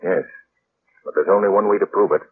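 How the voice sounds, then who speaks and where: An actor playing a composed, astute gentleman sleuth, measured and decisive, in 1940s radio style. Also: on the low side, natural voice. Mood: pondering, confident. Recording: tinny, faintly noisy vintage radio recording.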